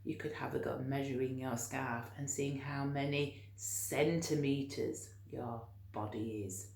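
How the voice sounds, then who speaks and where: Slow, expressive woman teacher in a roomy space. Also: extremely low pitch. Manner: expressive, encouraging, addressing young children directly. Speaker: teacher reading stories to children. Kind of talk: storytime read-aloud and teaching for young children. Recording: roomy, echoing home recording.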